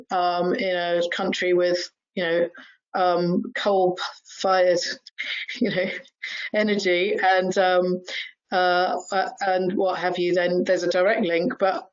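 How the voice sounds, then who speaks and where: A woman's voice: lowish voice, lo-fi sound. A woman, talking chattily as a panel speaker, full of fillers and asking "right?". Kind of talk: webinar panel discussion.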